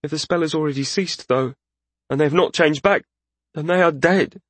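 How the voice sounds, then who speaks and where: Man voicing an earnest, troubled young mage, pitched high. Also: normal speed, no room sound, close to an everyday voice. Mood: earnest, grave. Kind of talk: fantasy audiobook character voice.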